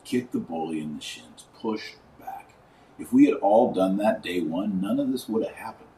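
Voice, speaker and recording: A man hosting a podcast, giving live commentary and reading aloud in an emphatic, colloquial manner with dramatic pauses. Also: on the low side, lively intonation, mellow. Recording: roomy, distant mic, faint background noise.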